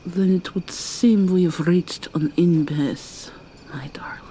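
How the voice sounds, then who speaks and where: A woman voicing a seductive, menacing vampire mermaid villainess in an ASMR roleplay, taunting and purring with sudden high swoops. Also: slow, warm tone, a little hiss or hum.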